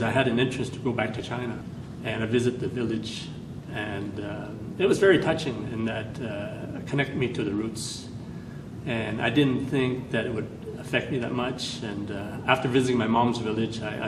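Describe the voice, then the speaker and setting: A man from Canada talks through his family's past in a halting way, filling pauses with "uh" and restarting phrases. His voice sits a little low and sounds far from the mic. The room echoes, and a steady noise runs behind him. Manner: hesitant, many fillers and restarts. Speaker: interviewee. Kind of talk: personal history interview.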